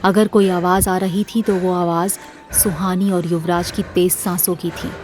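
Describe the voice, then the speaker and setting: A woman with a slightly low voice narrates a horror audio series. Her delivery is measured and dramatic, scripted storytelling with music clearly playing underneath. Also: little pitch movement, darkish tone.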